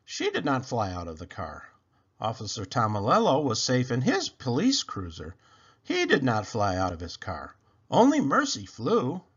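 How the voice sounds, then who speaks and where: A man narrating a children's book aloud in an expressive, dramatic, emphatic style. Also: mid-range voice, moderate pace, breathy edge, dull audio. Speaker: storybook reader.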